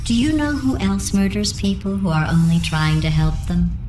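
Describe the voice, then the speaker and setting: A woman voicing a coldly cruel female game AI with robotic processing, deadpan, sarcastic and falsely sweet. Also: medium pitch, normal speed, roomy sound, faint music behind. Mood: icy sarcasm. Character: female artificial intelligence running tests, passive-aggressive, coldly cruel. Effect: synthetic robotic processing. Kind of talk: video game character dialogue.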